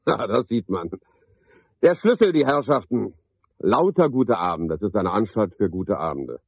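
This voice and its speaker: An actor playing a cynical, chatty night porter in a radio play, musing sardonically in clipped phrases. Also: male voice, mid-range voice, a little mellow, husky, no echo, lo-fi sound, full character voice. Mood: mock-jovial. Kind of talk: 1970s German radio play monologue.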